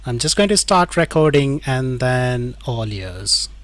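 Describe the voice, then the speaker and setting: A man's voice: medium pitch, animated, unhurried, fairly bright tone. A man hosting an online tech conference on a video call, chatting casually with frequent "like, you know" fillers. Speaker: event host.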